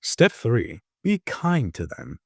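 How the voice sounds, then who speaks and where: A man voicing a wry, instructive train conductor in an audio drama, speaking in a measured, mock-genteel manner. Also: medium pitch, wildly swooping pitch, darkish tone, breathy edge, no echo, studio quality, voice pushed down, heavily acted. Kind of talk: audio-drama character dialogue.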